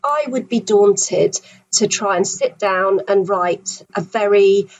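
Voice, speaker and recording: A woman's voice: mid-range voice, a little mellow, poor audio. Thoughtful woman with a faint hum behind. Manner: thoughtful, reflective, pauses over her words. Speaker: podcast guest, conservator. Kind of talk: conversational podcast talk.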